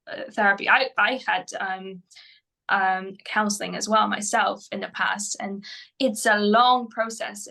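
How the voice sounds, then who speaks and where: A woman whose first language is Polish, a mental performance coach answering interview questions in English, often pausing with fillers and restarting her sentences. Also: medium pitch, pushed and bright. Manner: hesitant, many fillers and restarts.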